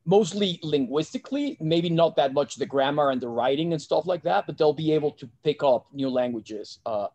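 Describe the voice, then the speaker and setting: A man's voice: a little high, moderate pace, hardly any pauses, dry recording, lo-fi sound. A middle-aged man from Mexico, a guest talking casually about his life over a video call from a home office. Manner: relaxed, chatty, frequent restarts. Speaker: guest, investment bank founder. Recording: dry video call audio.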